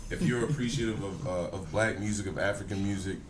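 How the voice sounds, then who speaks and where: A man's voice: lowish voice, quick pace. A man co-hosting a podcast in a playful, teasing casual chat. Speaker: podcast co-host. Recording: echoey room with faint noise.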